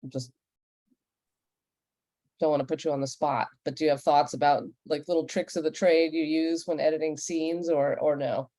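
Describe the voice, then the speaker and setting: Female panel moderator; low register, soft-edged mellow tone, close dry sound. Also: fairly even intonation, normal speed, dry recording. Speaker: host and moderator.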